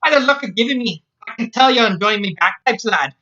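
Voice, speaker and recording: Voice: medium pitch, animated, brisk, bright, forceful tone, dull audio, voice pushed up. A storyteller voicing a feisty, hot-tempered Scottish warrior woman with a Scottish accent, reading fan fiction aloud. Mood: brash, friendly.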